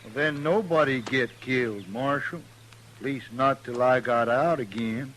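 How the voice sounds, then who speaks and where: A man's voice: medium pitch, a little slow, fairly bright tone, close to an everyday voice, poor audio. A stubborn feuding mountain man from a vintage radio Western, played by a male actor. He talks very unhurriedly in a thick Ozark hillbilly drawl. The old recording has a faint hiss of noise behind him. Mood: stubborn, calm. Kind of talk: 1950s Western radio acting.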